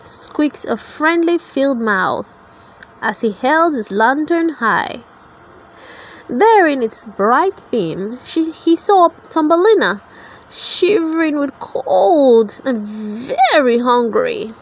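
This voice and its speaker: A woman's voice: sits high, very animated, takes it slowly. A woman narrating a children's storybook, reading a scripted tale aloud for toddlers.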